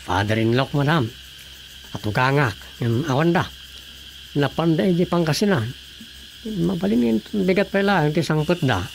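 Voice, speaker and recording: An actor playing a talkative, easygoing, sympathetic village councilor in a radio drama comedy, with an Ilocano Filipino accent. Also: male voice, on the high side, animated, moderate pace, vocal fry, pauses often, a little hiss or hum. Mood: sympathetic, polite.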